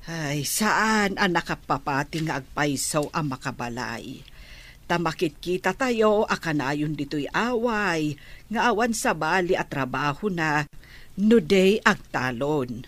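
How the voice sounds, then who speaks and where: A woman acting a calm villager who passes on family news in an Ilocano-accented radio drama, in a measured, explanatory way. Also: low voice, full character voice. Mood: matter-of-fact.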